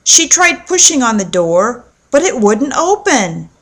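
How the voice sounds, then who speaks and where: A woman narrating a children's storybook aloud in a measured, clearly phrased read-aloud delivery. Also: fairly high pitch, very animated, a bit bright. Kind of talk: storybook narration with acted dialogue.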